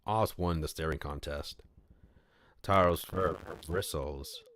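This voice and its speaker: A man streaming and reading a visual novel aloud, playful and thinking out loud about his choices. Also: rumbling low, slow pace, a little hiss or hum.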